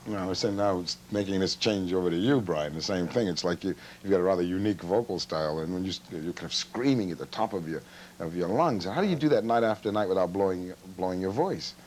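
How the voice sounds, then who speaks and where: A man interviewing a band for television, asking brisk chatty questions. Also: sits low, a little mellow, a little hiss or hum.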